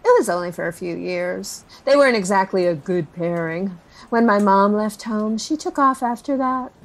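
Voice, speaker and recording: A woman's voice: medium pitch, expressive, normal speed, close to an everyday voice, low-quality recording. An actress playing a chatty, nervous woman in her forties in an audio drama, rambling through family memories with sighs and self-corrections. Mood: matter-of-fact. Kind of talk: interviewee in a found-footage audio drama.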